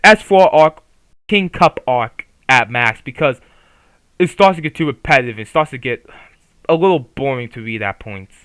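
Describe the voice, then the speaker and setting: A man's voice: mid-range voice, lively intonation, normal speed, fairly bright tone, clear, resonant tone, no echo. A man giving casual, opinionated commentary on a manga, swearing freely and rambling with restarts. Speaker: YouTuber reviewing a manga.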